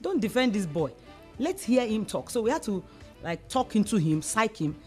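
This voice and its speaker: A Nigerian woman with a medium-pitched voice speaks as a community advocate in a discussion. She explains earnestly and emphatically, restating phrases to drive them home. Music runs behind her. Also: sing-song, normal speed. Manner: emphatic, repeats phrases for stress.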